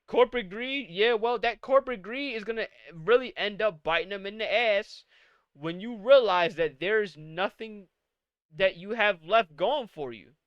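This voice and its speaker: Male host, late 20s, high crisp voice, dry close mic, profane exasperated streamer rant. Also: a little slow, a bit bright, no echo, highs cut off. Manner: exasperated, profane, rhetorical questions. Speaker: podcast host. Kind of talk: live-streamed casual rant.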